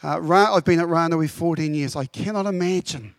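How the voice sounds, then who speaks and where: Brief: incoming pastor from Papua New Guinea, raised speaking Tok Pisin, fervent and heartfelt, slightly high voice, dry sound. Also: male voice, brisk, vocal fry, dry recording. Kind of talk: address to congregation. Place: church.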